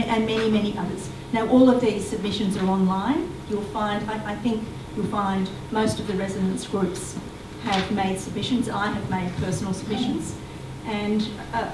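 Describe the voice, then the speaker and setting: A woman answers a question as a panelist at a residents' forum. She explains at length and often restarts her sentences. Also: mid-range voice. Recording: echoey hall, distant mic, background noise.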